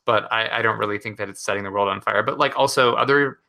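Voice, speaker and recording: Gravelly-voiced man chatting enthusiastically. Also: on the low side, quick pace, bright, forceful tone. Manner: enthusiastic, rambling with many fillers. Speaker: podcast co-host. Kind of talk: casual chat.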